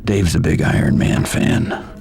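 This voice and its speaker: A man playing a grim, sardonic vigilante antihero in a video game, with a gravelly, very deep voice and terse, deadpan delivery. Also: a little slow, soft background music, natural voice. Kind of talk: video game cutscene acting.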